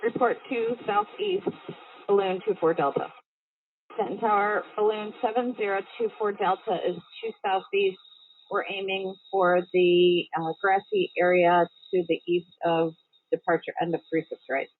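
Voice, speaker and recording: A woman's voice: mid-range voice, fairly even intonation, moderate pace, no echo. A woman speaking as a balloon pilot, making clipped, procedural aviation calls over the radio. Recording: tinny, phone-like band-limited radio audio.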